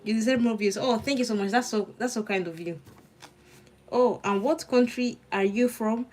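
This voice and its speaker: A woman's voice: a little high, normal speed, darkish tone. A young woman from Nigeria with a Nigerian accent, a law student murmuring her notes aloud and chatting with viewers on a casual study livestream. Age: young adult.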